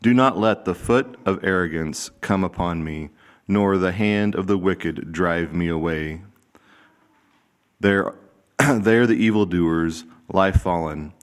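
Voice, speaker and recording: A man reading a psalm aloud in a church service in a measured, deliberate way. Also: deep voice. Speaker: scripture reader. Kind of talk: formal scripture reading.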